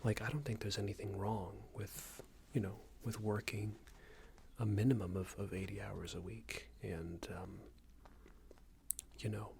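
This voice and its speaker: A man playing a smarmy, passive-aggressive company boss in a soft-spoken ASMR roleplay, hesitant and condescending, often half-whispered. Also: fairly low pitch, steady tone, unhurried, background noise. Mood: disapproving, condescending. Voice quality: breathy, half-whispered, creaky. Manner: hesitant, hedging, condescending, rambling corporate small talk.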